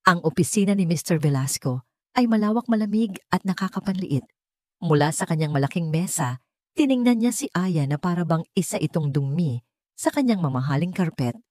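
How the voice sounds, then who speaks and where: A woman reading a scripted romantic drama story as narrator, with measured, melodramatic delivery. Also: lowish voice, lively intonation, a little mellow, no room sound, studio quality.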